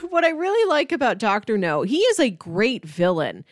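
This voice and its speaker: A woman with a slightly high, very animated voice co-hosts a casual film discussion. Her pitch moves around freely as she playfully acts out imagined lines. The recording is dry and close, with little room sound. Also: moderate pace, dry recording. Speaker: podcast co-host.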